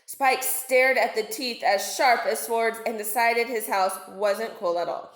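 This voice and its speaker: A woman's voice: a little high, steady tone, unhurried. A woman reading a storybook aloud to children as a narrator. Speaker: storybook reader, teacher. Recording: echoey room.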